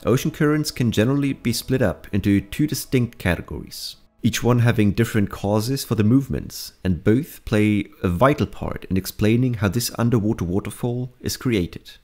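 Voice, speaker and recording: A man reading scripted documentary-style narration for an educational explainer, in a measured, explanatory manner. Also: on the low side, darkish tone. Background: background music.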